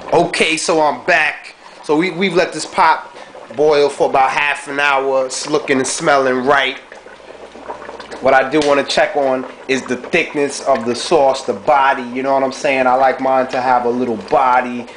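A young man in a dim home kitchen talking through his cooking in a relaxed, slangy way, recorded on a handheld camera mic. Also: fairly high pitch, slight background noise, poor audio. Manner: laid-back slangy chatter, frequent "you know what I'm saying". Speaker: home cook vlogger. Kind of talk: casual cooking vlog. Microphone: handheld camera mic held close.

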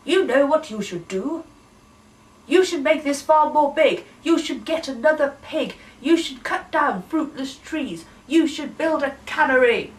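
A woman's voice: sits high, expressive, unhurried, recorded from a distance, pitched above the performer's own voice, poor audio. A woman playing a prim, bossy advice-giving lady. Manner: prim, insistent, lecturing.

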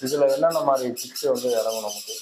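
Male host, brisk chatty interviewer recorded in a bird aviary with loud bird noise. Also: medium pitch. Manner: quick questioning, repeats answers to viewers. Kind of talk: casual farm-visit interview. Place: indoor aviary full of cages. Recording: crowd of squawking birds behind.

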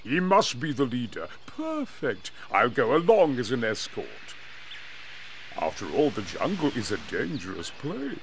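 A man's voice: mid-range voice, huge pitch swings, a little slow, a little hiss or hum. A man playing a scheming, pompous British jungle hunter in a video game, theatrical and smug. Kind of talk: video game cutscene acting.

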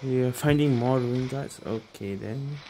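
A man's voice: mid-range voice, measured pace, low-quality recording. Man muttering game commentary over music. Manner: muttering, thinking aloud. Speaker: gamer, streamer. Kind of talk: casual gameplay commentary.

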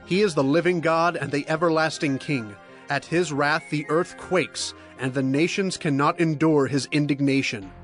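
Male reader, slightly higher voice, earnest, declaiming, music under. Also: normal speed, fairly bright tone. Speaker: Bible narrator. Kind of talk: dramatized scripture reading.

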